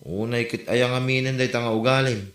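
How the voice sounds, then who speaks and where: A man's voice: medium pitch, fairly even intonation, a little fast, fairly bright tone, natural voice. An actor with an Ilocano accent playing a plain-spoken village man in a comedy drama. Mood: scolding. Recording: roomy echo, faint music behind.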